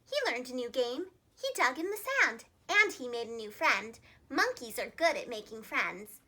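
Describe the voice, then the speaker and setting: A woman reading a children's storybook aloud in an expressive, carefully enunciated preschool-teacher style. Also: high-pitched, moderate pace.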